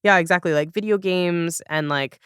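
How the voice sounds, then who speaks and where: A woman's voice: fairly low pitch, moderate pace, a bit bright, clear, resonant tone, dry recording, clean studio sound. A woman hosting a podcast in a casual, conversational way, chatty and thinking aloud as she goes. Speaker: podcast host.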